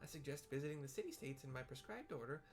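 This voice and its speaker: A man puts on a small, cheerful young woman from a fantasy game, her voice pitched up high and bright as she chatters eagerly through her instructions. Her chatty, chirpy manner stays light and friendly. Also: lively intonation, quick pace, close to an everyday voice. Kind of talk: game dialogue read aloud.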